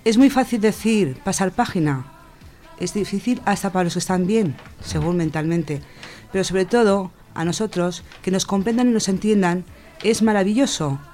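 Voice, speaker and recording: A woman from reflecting informally, halting and rephrasing with emphasis as a discussion participant. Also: medium pitch, dark tone. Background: faint music.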